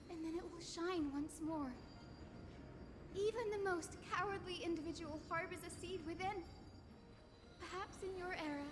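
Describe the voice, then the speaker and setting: A woman voicing a young, gentle heroine in a game, speaking with tender, earnest resolve. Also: extremely high pitch, background noise. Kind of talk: dramatic scripted game dialogue. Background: game music.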